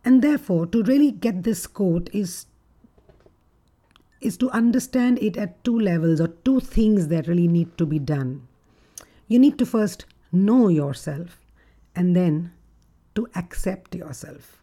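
A woman with a deep, gruff voice hosting a reflective solo podcast as a relationship coach, speaking in a measured, thoughtful way. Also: lively intonation, mellow. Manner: measured, thoughtful delivery with occasional fillers.

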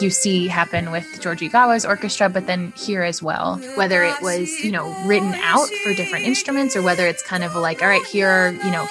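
A young adult woman giving a reflective interview answer as a scholar, thoughtful and searching, with many ums and likes. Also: on the low side. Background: soft background music.

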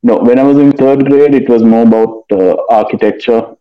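A middle-aged man answering interview questions in a measured, hesitant way with many fillers. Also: medium pitch, steady tone, clear, resonant tone, phone-quality sound, soft background music. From Sri Lanka. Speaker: guest, finance executive and author.

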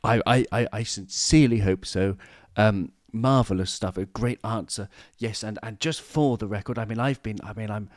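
A man's voice: fairly low pitch, normal speed, dry recording. Host in his late 30s, playful and wordy, dry sound. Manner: playful, florid, stumbling restarts. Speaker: podcast host. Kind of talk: casual podcast chat.

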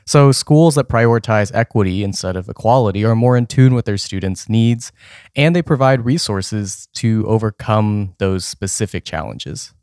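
A man's voice: lowish voice, normal speed, darkish tone, dry recording, studio quality. A young man co-hosting an educational podcast, earnestly explaining terms in a casual tone. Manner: explains definitions earnestly, some "um" fillers. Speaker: podcast co-host.

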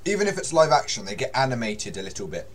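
Young bloke, deep voice, guest on a podcast, friendly, lots of ums. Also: male voice, big pitch swings, quick pace. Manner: friendly, laughs often, hesitant fillers. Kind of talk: casual chat.

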